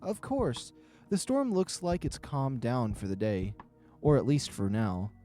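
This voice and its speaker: A man's voice: medium pitch, animated, unhurried, mellow, faint music behind, natural voice. A man voicing a responsible, considerate male animal friend in a visual novel. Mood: caring, steady. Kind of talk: visual novel character reading.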